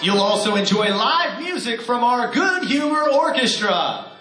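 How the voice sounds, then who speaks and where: A bright, animated man with a high, lively voice emcees a live radio drama evening, hyping up the studio crowd. The sound is roomy and hall-like, with music playing behind. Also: a little slow. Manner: upbeat, crowd-rousing announcer. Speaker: emcee host. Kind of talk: live show hosting. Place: theater stage with live audience.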